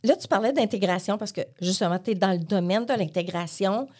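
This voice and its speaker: A woman's voice: mid-range voice, a little fast, mellow. A woman with a Québécois accent hosting a round-table panel, asking questions in a conversational way. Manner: conversational questioning, frequent fillers. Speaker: talk show host. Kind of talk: panel discussion.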